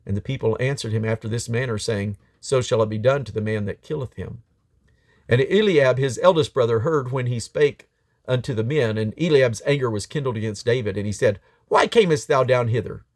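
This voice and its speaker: A man's voice: medium pitch, a little fast. Man reading scripture aloud with solemn emphasis. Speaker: Bible reader.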